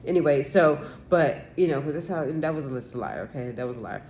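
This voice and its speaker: A woman's voice: deep voice, animated, fast talker, a little mellow, slight background noise. Rambling, aggrieved woman in her forties. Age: 40s. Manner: rambling and aggrieved, with many restarts and fillers; swears casually and repeats herself insistently. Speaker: vlogger. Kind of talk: rambling solo vlog monologue.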